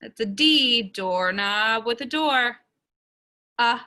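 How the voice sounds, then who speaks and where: A young woman teaches children to write sentences, speaking very slowly and carefully as she sounds out each letter. Her voice is bright and a little high. She records it on a webcam in a small room at home. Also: expressive. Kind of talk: slow, step-by-step writing lesson for young children.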